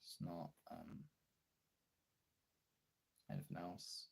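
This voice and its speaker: A man chatting candidly on a livestream in a halting, husky, gravelly voice, swearing casually, recorded on a phone. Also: low-pitched, big pitch swings. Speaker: live streamer and voice actor. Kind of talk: casual confessional livestream chat.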